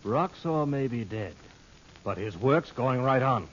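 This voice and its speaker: A man's voice: medium pitch, expressive, measured pace, natural voice. Man playing a domineering, impatient radio villain. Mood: commanding. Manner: commanding, impatient, smugly insistent. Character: brisk, domineering European villain posing as tourist. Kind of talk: old-time radio drama acting. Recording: dull old radio recording, faint noise.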